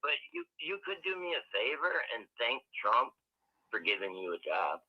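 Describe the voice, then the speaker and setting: A man's voice: mid-range voice, very animated, normal speed, no room sound. A man calling into a show by phone, arguing hard and swearing often. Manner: profane, argumentative, interrupts. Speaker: caller. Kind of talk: heated phone-in argument. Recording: muffled phone line.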